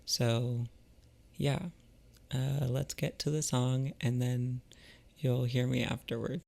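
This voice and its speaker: A man chatting casually and reflectively to viewers as a vlogger, in a halting, musing way with trailing pauses. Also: mid-range voice, level tone, vocal fry. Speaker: vlogger and artist.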